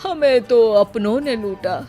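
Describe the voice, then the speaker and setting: A woman's voice: fairly high pitch, a little slow, dark tone, faint music behind. A woman voicing a lazy, cheeky young husband who declaims silly rhymes theatrically in a comic cartoon story. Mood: boastful, dramatic.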